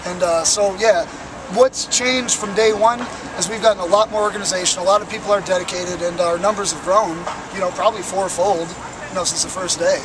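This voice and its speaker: A young man with a raspy, worn voice, speaking earnestly and with passion as a protester in an outdoor street interview, with frequent fillers. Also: sits high, steady tone, a little fast, noisy background. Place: outdoors on a city plaza by traffic.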